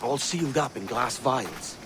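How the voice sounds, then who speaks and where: An actor voicing a brash, easygoing young pirate captain in a video game cutscene. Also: male voice, mid-range voice, animated, a little slow, background noise, natural voice. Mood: persuasive.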